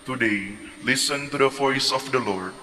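A man reciting psalms aloud in a measured, steady way during a prayer service. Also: mid-range voice, a loud crowd. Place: large church. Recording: reverberant church hall.

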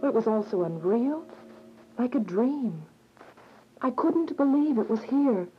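A woman's voice: a little high, expressive, unhurried, slight background noise. A young woman playing an earnest, devout teenage daughter who narrates a radio drama. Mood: earnest, troubled. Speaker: narrator and lead character. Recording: muffled radio drama, music behind.

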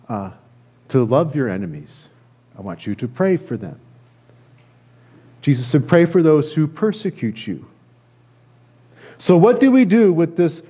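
A middle-aged man, a pastor, preaching a conversational sermon in an earnest, halting way with repeated phrases. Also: on the high side, sing-song, mellow. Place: church sanctuary, at a lectern indoors.

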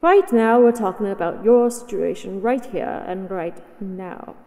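A woman's voice: medium pitch, a little slow, warm tone, long reverb tail, natural voice. A woman voicing a possessive, haughty dragoness in a scripted roleplay audio, speaking with condescending, teasing command. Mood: haughty, coy. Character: possessive, haughty, mighty female dragon captor.

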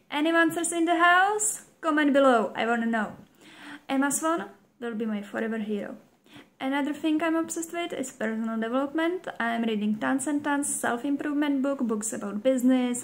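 Young Czech woman host, high voice, playful and upbeat, second-language English. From Czech Republic. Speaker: show host. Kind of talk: scripted intro to camera. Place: home room, indoors.

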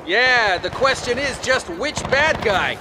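An actor playing a sarcastic, anxious young soldier who wisecracks through a video game. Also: male voice, extremely high pitch, animated, slow pace, heavily acted. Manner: sarcastic, wry. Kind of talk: video game character dialogue. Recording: noisy battlefield ambience and vehicles. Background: battle ambience, vehicles.

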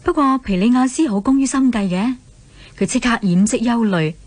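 A woman with a Hong Kong Cantonese accent narrating a scripted radio drama as an expressive storyteller. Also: on the high side, moderate pace, no room sound.

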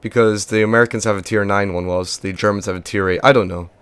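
A young man hosts a casual walkthrough of a game, talking in a chatty, rambling way with plenty of fillers. He has lost his voice, so he sits fairly low and stays stuck in one narrow band of pitch. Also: steady tone, quick pace, fairly bright tone, clean tone, dry recording. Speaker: gaming video host.

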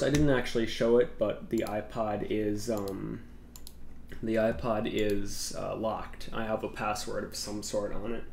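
A man's voice: medium pitch, vocal fry, darkish tone. A young man with a congested cold voice, rambling casually through a tech vlog with lots of fillers. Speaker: tech YouTuber. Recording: echoey room with faint noise.

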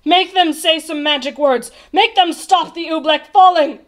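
A woman's voice: sits high, moderate pace, lightly acted. A woman voicing a proud, imperious old king in a storybook, with a dramatic manner. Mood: commanding. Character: proud old king, commanding, groaning, bellowing. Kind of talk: storybook character voice.

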